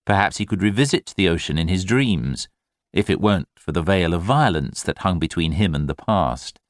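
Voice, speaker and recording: A man reading literary fiction as an audiobook narrator, in an expressive, measured storytelling manner. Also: low voice, no echo, clean studio sound.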